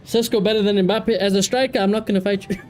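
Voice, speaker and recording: A man's voice: sits high, fairly even intonation, fast talker, a little mellow. A man streaming a video game live, chatting casually and giving blunt opinions with plenty of swearing. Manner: sweary, opinionated, repeats phrases. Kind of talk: casual live gaming commentary and chat. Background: game music.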